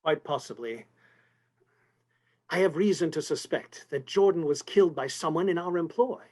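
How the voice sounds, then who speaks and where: A man acts out an anxious department store executive for a radio mystery. His voice is fairly high for a man, and he speaks in stiff, formal phrases broken by fussy hesitations. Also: moderate pace, darkish tone, close to an everyday voice. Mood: worried, guarded. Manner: formal, hesitant, stammering politely. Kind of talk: 1950s radio detective play acting.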